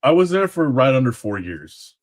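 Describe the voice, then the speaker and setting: A man's voice: medium pitch, sing-song, normal speed. A man from Texas chatting as a guest, polite and folksy, often repeating words as he goes. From Texas, United States. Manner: polite, says sir, folksy y'all. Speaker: guest, former Alamo guard. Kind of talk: casual interview chat.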